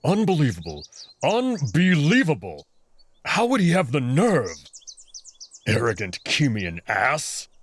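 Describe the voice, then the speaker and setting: Man acting a gruff, indignant big wolf. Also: medium pitch, wildly swooping pitch, slow pace, slight background noise. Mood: muttering, outraged. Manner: growling, blunt, confrontational.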